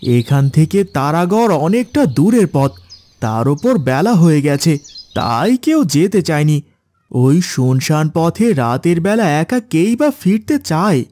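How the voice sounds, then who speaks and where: A man's voice: on the high side, big pitch swings, takes it slowly, warm tone, no room sound. A man playing an honest village van driver and worried father, polite and folksy, in a horror cartoon. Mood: reluctant, polite. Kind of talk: Bengali horror cartoon dialogue.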